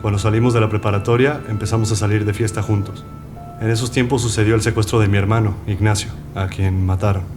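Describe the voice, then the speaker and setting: A low-voiced male witness played by an actor gives somber testimony in an audio docudrama. He talks quickly over clearly audible music. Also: fairly even intonation, natural voice. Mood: matter-of-fact, somber. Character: man testifying about his friend and murdered brother.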